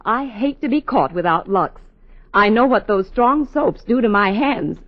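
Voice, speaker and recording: A lively, fussing housewife. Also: female voice, medium pitch, measured pace. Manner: muttering to herself, calling out. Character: busy housewife and mother, fussing mildly. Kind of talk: 1940s radio advert acting. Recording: dull 1940s radio recording.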